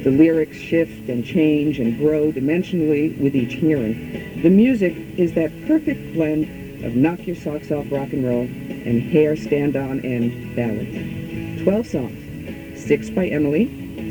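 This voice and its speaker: Lady radio host, measured and upbeat, guitar music going clear behind her. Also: low-pitched, rough recording. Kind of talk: scripted radio introduction and interview questions.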